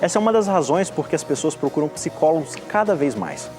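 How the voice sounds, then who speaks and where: A man's voice: on the high side, very animated, fast, over music. A man reading a scripted, reflective review of a TV series. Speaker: TV series commentator.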